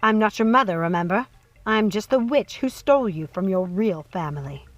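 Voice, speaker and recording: A woman's voice: medium pitch, animated, moderate pace, no echo, lo-fi sound, natural voice. A woman voicing a cruel, possessive old witch mother in a storybook reading, mocking and menacing, over music. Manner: syrupy menace, swinging from hushed coaxing to screaming.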